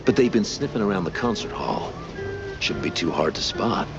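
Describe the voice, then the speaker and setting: A man voicing a gruff, officious mouse guard in a cartoon game, curt and bossy. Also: on the low side, lively intonation, normal speed, close to an everyday voice. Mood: conspiratorial. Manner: clipped, bossy, secretive. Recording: muffled, guitar music behind.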